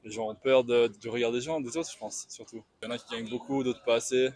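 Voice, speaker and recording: A man's voice: mid-range voice, steady tone, fast, a little mellow, no room sound. Easygoing young man answering on the street. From Switzerland, born in Portugal. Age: 20s. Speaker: interviewee, passerby. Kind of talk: casual street interview answers. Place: city street outdoors. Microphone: handheld interviewer's mic.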